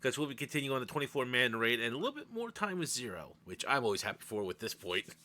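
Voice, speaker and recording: Male host, animated pitch, bright tone, playfully exasperated with his co-host. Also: fast talker. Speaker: podcast host. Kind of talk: casual game chat.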